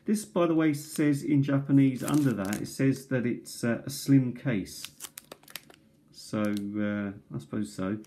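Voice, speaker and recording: A man with a mellow, soft-edged voice talks casually while showing off cassette tapes at his kitchen table. He hesitates often, filling gaps with ums and restarting his sentences. The sound comes from a tablet's built-in mic. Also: mid-range voice, unhurried, slight background noise. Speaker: cassette tape collector. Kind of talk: casual show-and-tell. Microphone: tablet camera mic.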